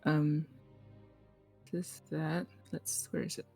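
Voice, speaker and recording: A woman's voice: low-pitched, flat delivery, takes it slowly, creaky, darkish tone, breathy edge, soft background music. A woman chatting casually as a player in a tabletop role-playing game.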